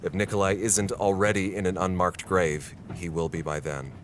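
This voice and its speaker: Casting: male actor playing frustrated, brooding American reporter, 1960s radio drama, deep-ish voice, reflective narration, ambient noise behind. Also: flat delivery, normal speed, lightly acted. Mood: brooding, discouraged. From United States. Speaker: reporter narrating an audio diary.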